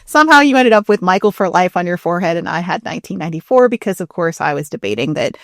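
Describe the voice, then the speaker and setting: A woman co-hosting a podcast in casual, animated chat, often giggling as she talks. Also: lowish voice, fast talker, no echo, studio quality. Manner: giggly, chuckles often while talking. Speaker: podcast co-host.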